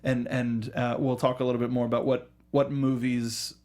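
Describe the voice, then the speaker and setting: Enthusiastic, halting man hosting a casual discussion. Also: mid-range voice, level tone, darkish tone. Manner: halting, often restarts sentences mid-thought; enthusiastic fan talk. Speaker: host of a film review show. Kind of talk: casual panel discussion of films and games.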